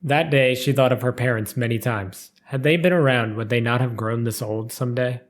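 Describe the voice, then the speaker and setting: A man narrates a written story in a mid-pitched voice. His delivery is careful and formal, following the script as a storybook reader would. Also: fairly even intonation, normal speed.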